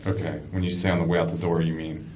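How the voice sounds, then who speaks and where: A man questioning someone as a police detective, speaking haltingly and often repeating himself. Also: sits low, flat delivery, a little mellow. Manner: halting, repetitive questioning with frequent acknowledgements. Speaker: police detective interviewing a suspect. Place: indoor police interview room. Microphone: distant room microphone. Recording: distant, muffled, noisy interview recording.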